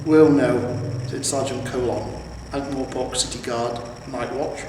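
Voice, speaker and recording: A man's voice: medium pitch, level tone, a little slow. Echoey, distant man reading a story. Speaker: amateur audiobook reader. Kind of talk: scripted story narration. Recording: roomy, echoing, distant mic with noise.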